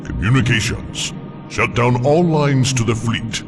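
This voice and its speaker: A man's voice: medium pitch, a little slow, music in the background, natural voice. A man voicing a proud alien warrior commander. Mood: solemn. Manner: formal, solemn, commanding.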